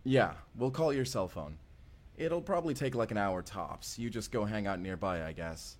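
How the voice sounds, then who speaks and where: Man acting a low, lively regular young guy. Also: normal speed, close to an everyday voice. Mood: casual. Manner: casual, self-deprecating, reassuring. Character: easygoing regular young guy, breezily confident time traveler. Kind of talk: conversational audio roleplay acting.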